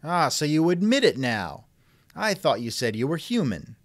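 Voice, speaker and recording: A man's voice: fairly high pitch, sing-song, moderate pace, no echo, studio quality. A man hosting a conversational interview, asking questions in a wry, skeptical manner. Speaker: interviewer, host. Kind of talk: reenacted text-chat interview, conversational.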